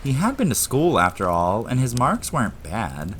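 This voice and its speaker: A man's voice: mid-range voice, wildly swooping pitch, moderate pace, a bit bright, a little hiss or hum. A man giving dramatic scripted narration for an audiobook-style audio drama.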